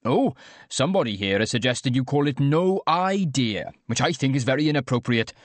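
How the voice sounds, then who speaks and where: A man's voice: mid-range voice, lively intonation, moderate pace, no room sound, natural voice. A man voicing an oblivious, upbeat young male influencer who livestreams to fans, blithe and chatty, in an audiobook. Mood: chirpy, earnest. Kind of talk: audiobook character dialogue.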